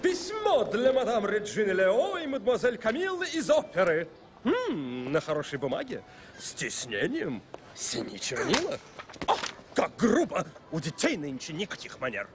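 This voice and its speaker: Noise is clearly heard behind a fussy cartoon postman. His fairly high voice swings about as he mutters and grumbles. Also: wildly swooping pitch, normal speed. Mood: fussy, indignant. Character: fussy, grumbling letter carrier.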